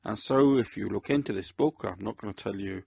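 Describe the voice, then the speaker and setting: A man speaking as an author and host, talking reflectively about his own books in a measured, deliberate, gravelly and husky voice. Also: lowish voice, mellow, no room sound, studio quality.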